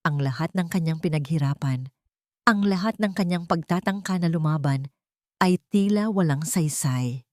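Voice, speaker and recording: A woman's voice: low voice, normal speed, dry recording, clean studio sound. A woman with a Filipino accent narrating a dramatic scripted story.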